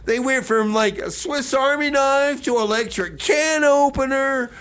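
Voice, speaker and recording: High-pitched man bantering on radio. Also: a little slow, fairly bright tone. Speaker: radio show co-host. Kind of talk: bantering community radio comedy.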